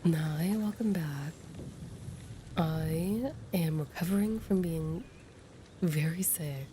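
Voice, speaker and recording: A woman with a stuffy nose, chatting softly and casually while hosting a gameplay video. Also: low-pitched, takes it slowly, a little hiss or hum. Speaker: gaming video host.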